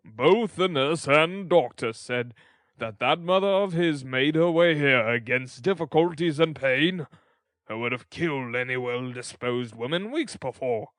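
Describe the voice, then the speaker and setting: A man's voice: mid-range voice, unhurried, fairly bright tone, no echo, natural voice. A man voicing a pompous middle-aged parish beadle who lectures sternly. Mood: stern lecturing. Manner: stern and self-important.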